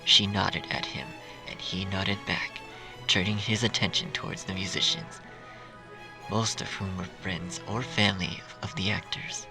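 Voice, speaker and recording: Deep, gravelly male story narrator. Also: moderate pace, music in the background, low-quality recording.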